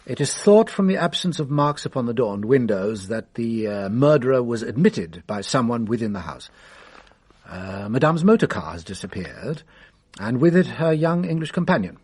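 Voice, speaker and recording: A shrewd, wry French detective. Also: male voice, medium pitch, big pitch swings, a little mellow, husky. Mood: dry, businesslike. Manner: measured, wry, formal. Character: famous French detective, shrewd, drily amused male. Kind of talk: radio drama acting.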